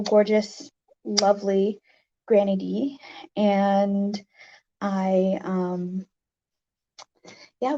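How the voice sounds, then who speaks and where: A livestream host with a raspy voice, chatting casually and haltingly with viewers, with many fillers. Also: mid-range voice, warm tone, muffled recording, slight background noise.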